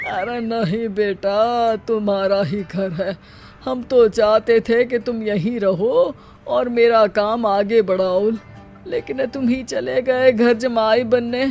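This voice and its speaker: A woman's voice: on the high side, fairly even intonation, takes it slowly, a little mellow, soft background music. A woman voicing an exasperated middle-aged father who reasons dryly with his son in a comic cartoon story. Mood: reasoning.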